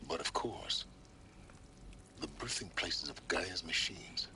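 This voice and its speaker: A very deep, measured male voice from a video game, cryptic and knowing, as a schemer who always seems to know more. The game audio carries clear background noise under his words. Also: animated, heavily acted.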